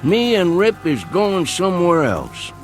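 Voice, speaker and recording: A lively-pitched backwoods coon hunter. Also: male voice, faint music behind, exaggerated voice. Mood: stubborn. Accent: rural Southern American. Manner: homespun, unhurried, plain-spoken. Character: stubborn, plain-spoken backwoods male coon hunter, loyal to dog. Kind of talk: vintage television drama acting.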